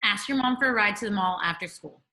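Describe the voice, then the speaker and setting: A woman's voice: medium pitch, quick pace, bright, forceful tone, lightly acted. A woman playing a bossy, scheming thirteen-year-old best friend in a sitcom table read.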